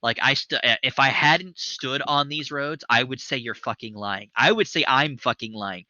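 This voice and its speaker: A man in his thirties hosts a casual livestream and rambles with eager enthusiasm. His voice is very bright and forward. The audio is dry and a little dull, like a webcam mic. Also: mid-range voice, moderate pace, no room sound. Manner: enthusiastic, rambling, swears for emphasis. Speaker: show host.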